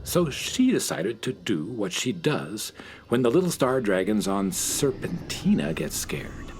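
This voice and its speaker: A man narrating a children's radio story in an expressive storytelling manner. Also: fairly low pitch, a little slow, a little hiss or hum.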